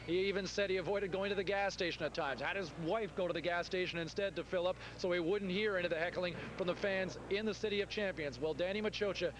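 A young man fronts the postgame show at a quick clip. His voice sits a little high, and he delivers brisk scripted links. Stadium noise hums underneath. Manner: brisk, scripted lead-ins. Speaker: sports TV host. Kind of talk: studio sports wrap-up. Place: empty stadium set. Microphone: handheld mic. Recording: broadcast audio with background noise.

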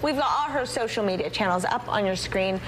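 A woman's voice: medium pitch, lively intonation, a little fast, fairly bright tone, slight background noise, poor audio. A woman in her thirties hosting a morning TV show in a studio, reacting with short, eager remarks. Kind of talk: casual on-air chat. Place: TV studio set.

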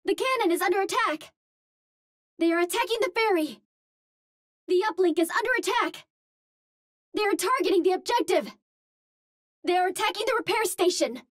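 A woman's voice: very high-pitched, lively intonation, normal speed, long pauses, no echo, studio quality. A woman playing a cute, earnest young female Martian hero in a video game, speaking in precise, formal phrases. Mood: earnest, alert. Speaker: voice actor in a hero shooter game. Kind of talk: video game character voice lines.

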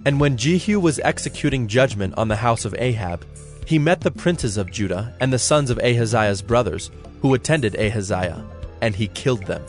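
Solemn male Bible narrator, medium pitch. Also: lively intonation, faint music behind. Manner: measured, solemn reading. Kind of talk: scripted dramatized Bible narration.

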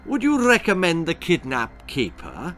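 A man's voice: on the high side, huge pitch swings, measured pace, fairly bright tone, soft background music, pitched above the performer's own voice, exaggerated voice. A man voicing a cheeky, bored young boy in a children's story. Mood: curious, polite.

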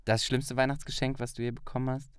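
A man's voice: mid-range voice, little pitch movement, quick pace, clear, resonant tone, no echo, clean studio sound. A man hosting a podcast in casual, slangy conversation. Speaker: podcast host.

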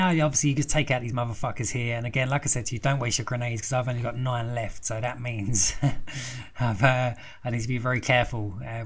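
A young man with a croaky throat giving a rambling, foul-mouthed walkthrough of a war game. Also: mid-range voice. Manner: swears constantly, rambling and chatty. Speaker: gaming video host. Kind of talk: casual profane game walkthrough commentary.

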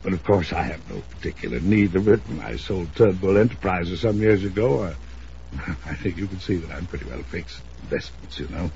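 An actor playing a pompous, controlling older uncle in 1950s radio drama style, short-tempered and given to formal, blustering speech. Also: male voice, sits low, expressive, fairly quick, mellow. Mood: stiff, dignified. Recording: muffled hissy old radio recording.

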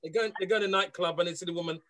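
A man with a high voice, chatting on a talk show panel. He is playful and teasing and keeps repeating his words. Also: steady tone, rapid-fire, fairly bright tone. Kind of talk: casual panel chat.

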